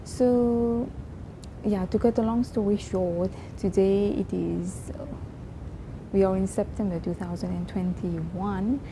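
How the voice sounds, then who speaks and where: A woman in her twenties from Mauritius, whose first language is Creole, talking earnestly and reflectively in a personal vlog, pausing and restarting often. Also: mid-range voice, fairly even intonation, background noise. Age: 20s. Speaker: vlogger. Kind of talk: casual personal vlog talk.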